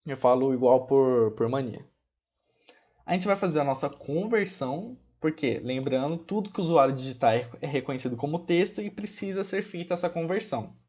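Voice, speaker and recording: A man with a Brazilian Portuguese accent teaching programming in a casual screencast. He checks in with his viewers often and slows down to spell out commands as he types them. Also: fairly high pitch, animated, mellow. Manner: chatty, checks in often with "ok?" and "pessoal", fond of diminutives; spells out commands slowly while typing. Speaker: programming instructor. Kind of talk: casual screencast coding tutorial.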